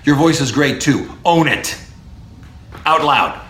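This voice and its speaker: Emphatic baritone man lecturing. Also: takes it slowly, echoey room. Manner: animated and emphatic, drops to low punchy asides. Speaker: speech professor. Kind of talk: podcast lecture on public speaking.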